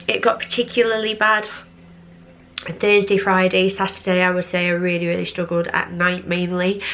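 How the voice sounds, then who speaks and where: Croaky congested woman vlogging. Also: medium pitch, a bit bright, rough recording. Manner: chatty, lots of fillers, drawn-out repeated words. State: hoarse and congested from a cold. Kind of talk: casual pregnancy update vlog.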